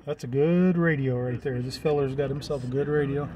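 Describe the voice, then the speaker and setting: A man's voice: medium pitch, steady tone, a little fast, mellow, rough recording. An American man giving an informal, enthusiastic walk-around commentary outdoors, using plenty of slang. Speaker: vlogger filming a CB radio meetup. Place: outdoors in a gravel parking lot. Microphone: handheld camera or phone mic. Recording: clear outdoor background noise.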